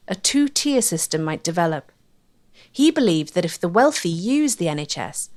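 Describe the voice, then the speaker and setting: A woman with a Welsh accent reading scripted narration as the presenter of a documentary. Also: medium pitch.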